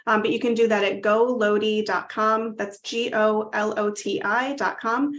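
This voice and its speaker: A woman's voice: medium pitch, fairly even intonation, moderate pace, a bit bright. A woman in her thirties, a company founder, answering interview questions earnestly with frequent "um" fillers. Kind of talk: casual interview.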